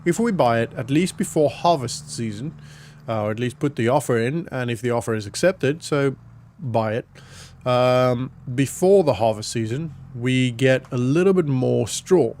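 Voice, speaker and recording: Croaky-voiced man rambling over gameplay. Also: medium pitch, normal speed. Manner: relaxed, rambling, many fillers. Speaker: gaming YouTuber. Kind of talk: casual gameplay commentary.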